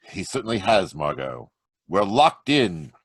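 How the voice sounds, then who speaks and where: A man playing a deep-voiced cool criminologist. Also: measured pace, background noise. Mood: urgent. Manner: calm, commanding. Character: cool confident male criminologist hero. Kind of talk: old-time radio drama acting. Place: home over video call.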